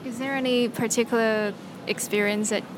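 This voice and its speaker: A woman's voice: on the high side, fairly even intonation, normal speed. A woman interviewing, asking prompting follow-up questions. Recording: noticeable background noise.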